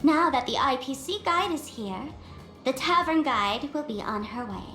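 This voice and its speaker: A woman's voice: sits high, expressive, moderate pace, sharp tone, roomy sound, faint music behind. An actress playing a sly, elegant, enigmatic woman in a video game, with a teasing, theatrical manner.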